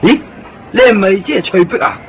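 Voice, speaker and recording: A man's voice: high voice, a little fast, dull audio. An actor playing a talkative, jokey Hong Kong man who lives in Japan and picks up a traveller, in a 1990s radio drama. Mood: chatty. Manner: talkative, jumps between loud excitement and muttering.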